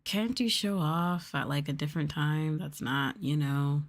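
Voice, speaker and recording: A woman speaking softly and casually in an ASMR livestream style, breathy and often slipping into a whisper, close to the microphone. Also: low-pitched, unhurried. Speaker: VTuber streamer. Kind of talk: ASMR soft talking, casual livestream chat.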